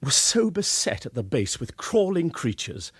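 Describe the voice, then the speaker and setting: An actor playing a rational, commanding English gentleman in a period radio drama, formal, articulate and emphatic. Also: on the high side, sing-song, moderate pace, husky, no room sound, exaggerated voice. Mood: grave narration.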